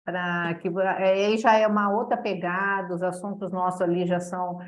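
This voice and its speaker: A woman's voice: lowish voice, little pitch movement, normal speed, lo-fi sound. A woman from Santo André with a São Paulo state Brazilian accent, hosting a course on a casual video call and ending phrases with tag questions. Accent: São Paulo state Brazilian Portuguese. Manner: chatty, many tag questions. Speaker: course host.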